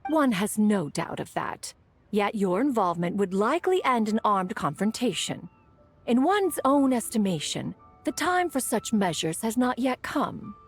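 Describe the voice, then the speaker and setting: A woman's voice: mid-range voice, big pitch swings, unhurried, faint music behind. A woman voicing a haughty, dignified immortal sage in a video game, speaking in formal, archaic phrasing. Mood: composed, authoritative. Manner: formal, archaic, calls self "one". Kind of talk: anime-style video game voice acting.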